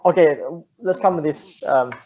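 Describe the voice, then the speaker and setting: A man's voice: fairly high pitch, normal speed, mellow, lo-fi sound. A young man from Finland, a native Finnish speaker, talking earnestly as an interview guest, often repeating words and restarting. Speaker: guest entrepreneur. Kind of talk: casual interview talk.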